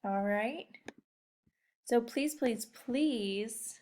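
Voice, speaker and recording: A woman's voice: a little high, drawn-out words, a little mellow. A young woman teacher chatting casually and encouragingly to children, often putting questions straight to her listeners. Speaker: teacher leading a read-aloud lesson.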